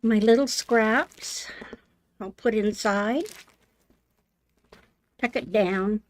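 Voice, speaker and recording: An older woman hosting a live sewing demonstration, talking in a homey, unhurried way with many ums, her voice slightly hoarse. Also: mid-range voice. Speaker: sewing channel host. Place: home sewing room.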